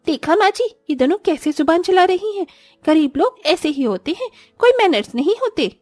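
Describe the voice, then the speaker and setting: A woman's voice: very high voice, big pitch swings, moderate pace, a little mellow, no echo, faint music behind. A woman voicing a pampered, snobbish young rich daughter-in-law with a breezy, condescending way of talking in a cartoon. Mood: disdainful. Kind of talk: Hindi moral-story cartoon voice acting.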